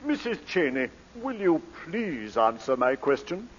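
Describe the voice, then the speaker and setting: A fussy nobleman who stutters and dithers. His voice sits very high and swoops about, in vintage radio style with a hollow, reverberant sound. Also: sing-song, unhurried. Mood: flustered. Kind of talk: 1940s radio drama acting. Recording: echoey, dull old radio recording.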